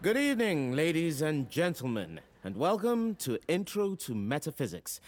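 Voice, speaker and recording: An actor playing a playful, provocative male philosophy professor in an audio drama, lecturing theatrically with rhetorical flourishes. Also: on the high side. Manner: theatrical, rhetorical, addresses a class like a host.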